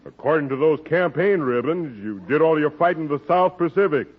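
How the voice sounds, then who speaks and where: An actor playing a polite middle-aged army major who questions a guest in a 1940s radio comedy. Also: male voice, on the high side, brisk, lightly acted. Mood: genial. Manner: polite, genial, probing. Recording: tinny old radio broadcast.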